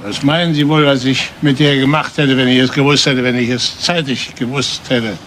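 A man's voice: mid-range voice, flat delivery, normal speed, a bit bright, slight background noise, natural voice. Actor as a curt, bitter older man. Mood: defiant, bitter. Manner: curt, defiant, repeats himself for emphasis. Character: bitter, defiant older family patriarch under police questioning. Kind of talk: German crime radio play acting.

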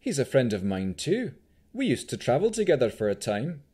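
Man voicing a jaunty swashbuckling woman, raised pitch. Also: huge pitch swings, normal speed, mellow, slightly rough. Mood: candid. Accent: Vistani-style fantasy accent. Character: jaunty, self-assured female swashbuckling traveller.

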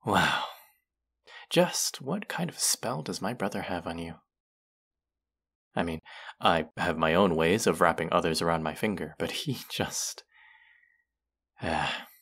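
A man's voice: sits low, animated, a little slow, pauses often, bright, forceful tone, husky, heavily acted. A young man acting a condescending, smug college student in a scripted audio roleplay, haughty and sardonic with a drawl. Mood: aloof, advising. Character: condescending, smug young male college rich-club hopeful. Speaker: voice actor.

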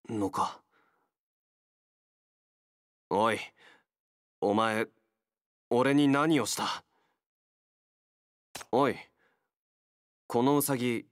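An actor playing a wary, feral young man in a game, a gruff loner fighter with blunt, halting speech. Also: medium pitch, dry recording. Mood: guarded, blunt. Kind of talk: Japanese game character voice acting.

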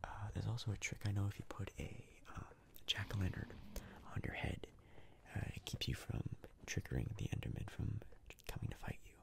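A man giving hushed, casual ASMR game commentary in a breathy, gravelly whisper, close to the mic. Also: fairly low pitch, expressive. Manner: hushed, casual, unhurried rambling. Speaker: gaming video host.

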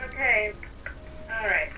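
A woman's voice: medium pitch, animated, takes it slowly, bright, forceful tone, slight background noise, low-quality recording. A woman chatting casually with other players in a group game voice chat. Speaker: player in a group Minecraft roleplay.

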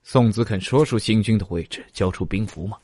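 Man playing a low, husky, smug captor. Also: brisk, dark tone, lightly acted. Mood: smug, pressing. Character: smug, scheming male captor interrogating a prisoner.

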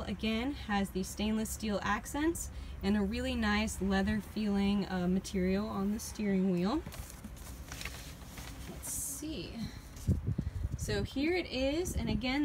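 A woman's voice: on the high side, a little mellow, a little hiss or hum. A woman salesperson giving a chatty, upbeat walkaround tour of a boat, filmed outdoors on a handheld phone. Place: outdoors on a boat in a dealer lot. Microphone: phone camera held while walking.

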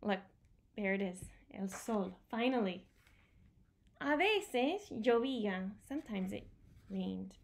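A woman's voice: mid-range voice, expressive, takes it slowly, vocal fry, pauses often. A woman in her thirties presenting a bilingual picture-book storytime for young children, expressively explaining and repeating key words. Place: small home room with bookshelves, indoors.

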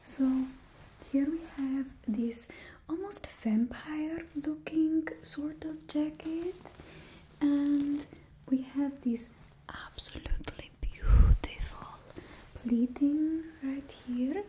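A woman's voice: sits high, slow pace, mellow, slight background noise. A woman in her twenties whispering closely in a put-on Russian accent, as the host of an ASMR roleplay. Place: indoors in front of a studio backdrop. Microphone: close microphone.

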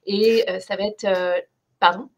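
A woman's voice: mid-range voice, fairly even intonation, unhurried, vocal fry. A middle-aged woman from France with a Parisian accent, a guest answering over a video call, thoughtful and full of fillers. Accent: Parisian French. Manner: thoughtful, hesitant with many fillers. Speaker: guest artisan.

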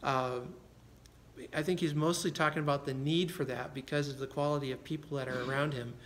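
A man preaching a sermon as pastor, earnest and conversational, often repeating words and laughing softly. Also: medium pitch, steady tone, brisk, a little hiss or hum. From United States.

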